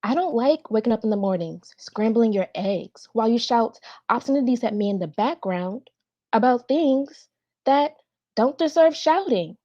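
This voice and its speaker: A woman's voice: on the high side, animated, normal speed. A woman performing a dramatic monologue as a hurt, resentful daughter pleading with and accusing her mother, over slightly dull call audio.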